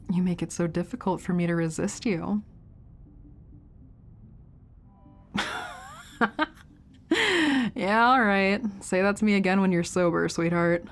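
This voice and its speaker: Woman acting a teasing, caring older sister, low-toned. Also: close to an everyday voice. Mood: flirty, caring.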